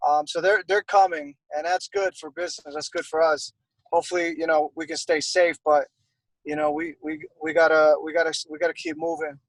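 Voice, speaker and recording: A young man from Costa Rica chats easily as an interview guest, rambling with many fillers. His voice sits a little high for a man. The sound is dry and close. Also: lively intonation, a little fast, creaky, no echo, poor audio. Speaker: guest, airbrush artist. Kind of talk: casual interview chat.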